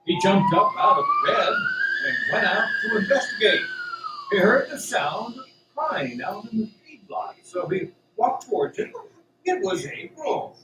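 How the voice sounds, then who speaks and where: Male radio play narrator, high for a man, suspenseful, unhurried, over music. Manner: animated, suspenseful delivery. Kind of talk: dramatic scripted storytelling for radio drama. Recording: roomy, distant mic, music behind.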